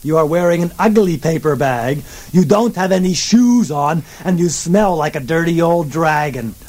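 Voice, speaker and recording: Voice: medium pitch, lively intonation, normal speed, a little mellow, lightly acted. A storyteller doing a prissy vain prince. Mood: disdainful. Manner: prissy, disdainful. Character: vain fussy young prince.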